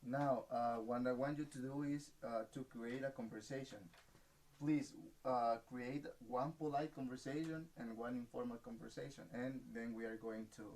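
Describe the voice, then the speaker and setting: A man's voice: mid-range voice, fairly even intonation, darkish tone, low-quality recording. Patient man teaching an English class. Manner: slow, patient, checks understanding with "right?".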